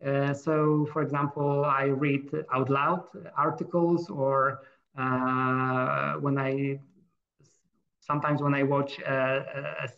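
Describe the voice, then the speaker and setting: Hesitant Polish-accented man, gravelly voice. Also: medium pitch, little pitch movement, takes it slowly. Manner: hesitant, many fillers and restarts. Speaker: guest. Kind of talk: casual interview. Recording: dull lo-fi sound.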